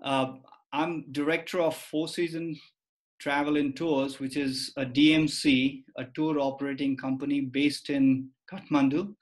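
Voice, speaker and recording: Male guest expert, forties, Nepali accent, measured explanatory delivery over a video call. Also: mid-range voice, level tone, rough recording. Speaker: guest, tour company director and lecturer. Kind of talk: explanatory interview talk. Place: office room, video call.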